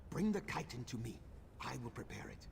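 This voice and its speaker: A grave lizard-folk healer from a video game, speaks slowly and with bitter weight. His voice is gravelly and rises and falls a good deal. The game audio carries clear background noise. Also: mid-range voice, sing-song, darkish tone. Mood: solemn. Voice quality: gravelly, measured and solemn. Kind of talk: video game character dialogue.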